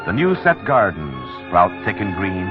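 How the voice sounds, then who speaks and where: A man acting as the solemn, poetic, measured narrator of a 1940s radio drama. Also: sits low, sing-song. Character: solemn poetic storyteller of a stranded town. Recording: muffled old radio recording, music behind.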